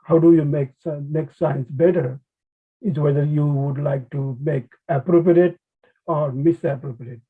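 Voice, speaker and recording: Male academic speaker, Nepali accent, slightly high raspy voice, halting delivery. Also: steady tone. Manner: halting, hesitant phrasing with frequent restarts. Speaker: conservation biologist, webinar speaker. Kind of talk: informal academic webinar talk. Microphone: video call. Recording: thin phone-like online call audio.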